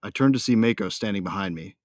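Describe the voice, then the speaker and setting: Man playing a deep-voiced, vengeful bounty hunter. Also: fast talker, a little mellow, no room sound, natural voice. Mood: brooding. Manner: tough, slangy, menacing. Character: brooding, vengeful, hot-tempered male bounty hunter. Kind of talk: first-person dramatic audio drama narration.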